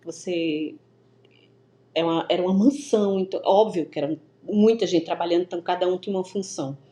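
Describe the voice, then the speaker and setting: Animated Brazilian woman, mellow voice. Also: lowish voice, a little fast. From Northeast Brazil. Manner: animated, many restarts and stammers. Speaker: guest. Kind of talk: casual interview storytelling. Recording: echoey room with faint ambience.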